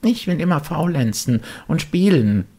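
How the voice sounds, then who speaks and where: A man's voice: a little high, very animated, normal speed, dark tone, husky, voice pushed up, exaggerated voice. A man voicing an eager, naive little wooden puppet boy, exclaiming and pleading in an audiobook. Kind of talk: audiobook character voice.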